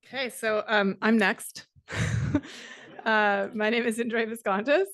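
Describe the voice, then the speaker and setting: A middle-aged woman from Canada giving a conference talk, measured and steady, with frequent fillers. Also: fairly high pitch. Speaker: conference speaker. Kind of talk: academic presentation.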